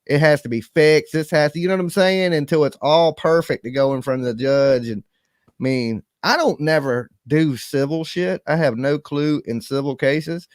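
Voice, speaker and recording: A man's voice: a little high, normal speed, no room sound, studio quality. A man co-hosting in loose casual chat, joking and slangy with lots of fillers. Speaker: co-host.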